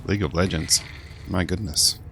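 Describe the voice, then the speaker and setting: A man's voice: deep voice, fairly even intonation, normal speed, pushed and bright, a little hiss or hum. A man giving casual live gameplay commentary as a streamer, rambling and thinking aloud. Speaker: game streamer.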